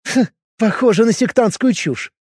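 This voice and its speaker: A cheeky, sharp young woman, as a male reader puts her on, with a lifted, mocking voice. She sounds lively and sure of herself, in a dry, close studio sound. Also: very high voice, moderate pace, husky, no echo, voice pushed up, full character voice. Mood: sarcastic bold. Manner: raised, pert, mocking tone. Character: sharp-tongued young woman, bold, sarcastic. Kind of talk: audiobook character voice.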